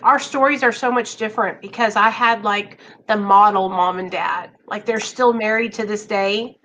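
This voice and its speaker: A woman's voice: medium pitch, steady tone, moderate pace, a bit bright, slight background noise. A woman with an American country accent, chatting informally as the host of a casual interview.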